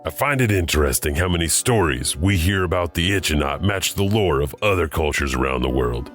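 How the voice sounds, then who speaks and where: A male host narrates scripted tales in a very low voice, keeping a conversational, slightly wry edge. Soft music runs underneath. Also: animated, brisk.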